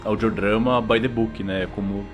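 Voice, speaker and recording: A man's voice: lowish voice, fairly even intonation, normal speed, over music. A Brazilian man talking casually and informally as a podcast host. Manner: informal, slangy. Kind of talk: casual interview chat.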